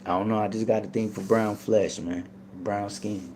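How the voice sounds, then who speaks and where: A man's voice: on the low side, steady tone, normal speed, dark tone, a little hiss or hum. A man talking casually as a guest, laid-back and rambling with lots of fillers. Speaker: podcast guest. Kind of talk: casual storytelling.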